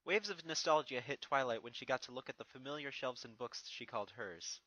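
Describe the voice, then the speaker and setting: Story narrator, bright tone, animated intonation, dry close sound, scripted fan fiction reading. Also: medium pitch, fairly quick, dry recording, highs cut off.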